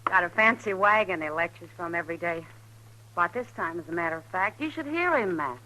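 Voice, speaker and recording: A woman's voice: lowish voice, lively intonation, fairly quick, bright, forceful tone, poor audio. An actress playing a familiar, teasing, slightly tipsy saloon woman of the frontier in an old radio western. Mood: tipsy, enthusiastic. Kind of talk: 1950s radio western acting. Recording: old radio broadcast, hum and hiss.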